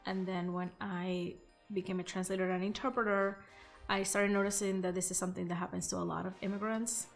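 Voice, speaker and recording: A woman's voice: medium pitch, flat delivery, fairly quick, gravelly. A woman in her thirties, raised in Venezuela, speaking English in an earnest, reflective documentary interview. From Portugal and Venezuela. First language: Spanish or Portuguese. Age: 30s. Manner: earnest, reflective, frequent fillers. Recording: faint music behind.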